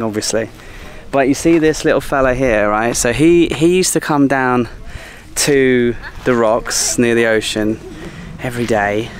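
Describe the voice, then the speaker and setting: A man in his thirties telling stories casually to camera as a travel vlogger, with an animated way of retelling things. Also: lowish voice. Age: 30s. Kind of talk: casual vlog storytelling to camera. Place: outdoors by a seaside sculpture park. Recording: clear outdoor background noise.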